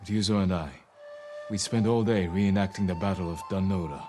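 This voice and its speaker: Man playing a low-voiced, stern samurai lord. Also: fairly even intonation, normal speed, a little mellow, faint music behind, lightly acted. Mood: calm. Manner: terse, grave, controlled. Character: stern, resolute young samurai lord, quietly determined. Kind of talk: cinematic video game acting.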